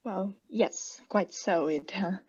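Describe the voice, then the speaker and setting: Breathy, unhinged caretaker played by a young woman. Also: medium pitch, a little mellow, highs cut off. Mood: uneasy, distracted. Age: young adult. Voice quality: breathy, often dropping to a whisper. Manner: halting, insistent, self-justifying. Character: guilty, unhinged caretaker, anxiously feigning calm politeness. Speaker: student actor. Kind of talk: radio play acting.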